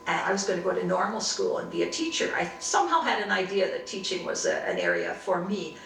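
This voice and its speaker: Older woman reminiscing, gravelly edges, recorded from across an echoey room. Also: on the low side, sing-song, fairly bright tone, distant mic, slight background noise. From Canada. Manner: reflective, unhurried storytelling with frequent restarts. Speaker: interviewee. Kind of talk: oral history interview.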